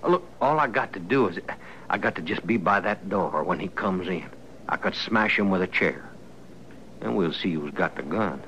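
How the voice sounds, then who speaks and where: A gravelly, swooping male voice as a sly outlaw prisoner in an old radio western. He coaxes and insinuates in a folksy rural American drawl with menace underneath, on a slightly noisy vintage recording. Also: low voice, animated, moderate pace, a bit bright, dull audio. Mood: urgent wheedling.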